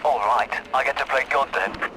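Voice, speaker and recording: An actor playing a wry, laconic special-forces agent who jokes dryly in a video game cutscene. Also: male voice, normal speed, natural voice. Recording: noisy game audio with vehicle rumble.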